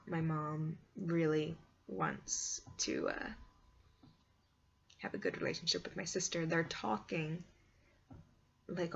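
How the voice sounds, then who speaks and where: A woman with a fairly low voice talks casually to her viewers, rambling from thought to thought. She tells things in an animated, dramatic way. The sound is dull and lo-fi, like a simple home recording. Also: vocal fry, slight background noise. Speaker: vlogger and hobby story writer.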